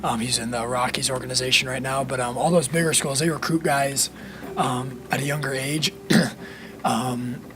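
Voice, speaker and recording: A young man from Colorado, a college baseball player, hoarse from a cold, answering interview questions in a rambling, easygoing way with many fillers. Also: medium pitch, steady tone, normal speed, noisy background. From Colorado, USA. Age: young adult.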